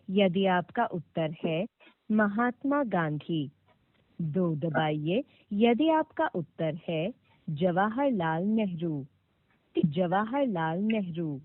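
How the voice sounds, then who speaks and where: A woman reading scripted automated phone prompts with formal, clearly enunciated instructions, heard over a narrow phone line. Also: lowish voice, sing-song, takes it slowly, no echo. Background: played back from a recorded call.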